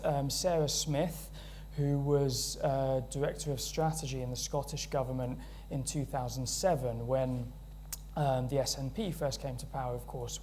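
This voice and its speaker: A man's voice: mid-range voice, mellow, slight background noise. A British man chairing a panel event, introducing speakers in a friendly, hesitant way with many fillers.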